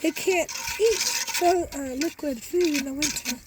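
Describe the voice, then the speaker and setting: A woman's voice: high-pitched, a little slow, noisy background. Woman losing her voice, chatty farm how-to. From Iowa, US. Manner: chatty, explains step by step with many restarts. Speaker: homesteader and beekeeper. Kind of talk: casual how-to cooking demonstration. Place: outdoor back deck in a farm yard. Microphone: phone camera held close.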